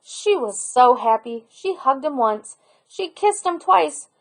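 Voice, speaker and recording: A woman reads a children's picture book aloud as a storyteller. Her voice is high, and she takes an unhurried pace with expressive narration.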